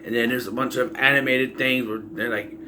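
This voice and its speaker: A man giving a casual, rambling, improvised recap as a reviewer of an animated series. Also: mid-range voice, fairly quick. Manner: loose, improvised, acting out quoted bits. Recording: faint background noise.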